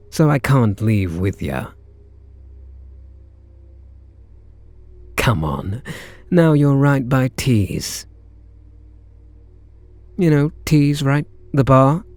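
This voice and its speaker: A man's voice: mid-range voice, darkish tone, no room sound, exaggerated voice. A man with a British accent playing a smitten kidnapper, a sweet-talking gangster, in an intimate ASMR roleplay. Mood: resolved, tender. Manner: slow, hesitant sweet talk with pet names.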